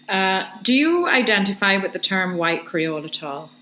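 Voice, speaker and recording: A woman's voice: mid-range voice, sing-song, moderate pace, bright, forceful tone, a little hiss or hum, poor audio. A woman hosting an interview, asking questions with hesitations and fillers. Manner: hesitant, searching for words. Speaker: interviewer and project host.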